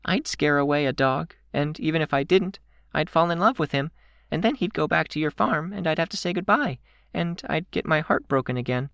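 A man's voice: fairly high pitch, brisk, gravelly, a bit bright, clear, resonant tone, dry recording, voice pushed up. A man voicing an anxious, self-doubting young girl for an audiobook, hesitant and prone to muttering and rambling. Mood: wistful. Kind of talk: audiobook character voice.

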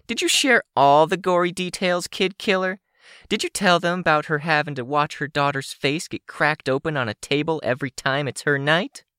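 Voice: mid-range voice, moderate pace, fairly bright tone, smooth, dry recording, studio quality, voice pushed up, natural voice. A narrator voicing a furious, taunting young female supervillain with a shrieking, sneering, profane delivery.

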